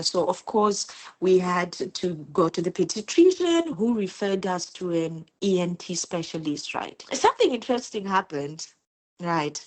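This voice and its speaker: A woman from Zimbabwe, a homeschooling mother, talking in a casual interview and telling her stories earnestly and with feeling. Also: fairly low pitch. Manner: earnest, animated storytelling with repeated words.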